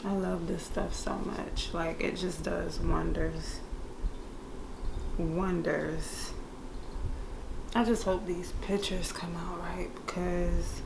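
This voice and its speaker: A young woman doing a makeup tutorial in casual chat, laid-back and monotone by her own account, with playful slangy asides to viewers. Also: fairly low pitch, creaky, roomy sound, background noise. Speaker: beauty vlogger. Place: room indoors. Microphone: camera mic.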